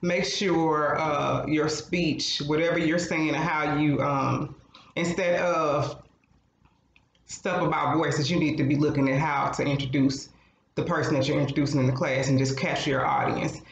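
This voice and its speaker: Man, frank podcast co-host, swears freely, somewhat high voice, faint background noise. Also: fairly even intonation, moderate pace, creaky. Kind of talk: casual advice chat. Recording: roomy, slightly dull sound with faint noise.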